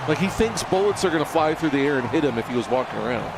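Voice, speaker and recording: Animated male color commentator. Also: fairly high pitch, very fast. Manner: chatty, rambling. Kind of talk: sports broadcast commentary, banter. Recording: loud crowd and noise bed. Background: loud crowd ambience.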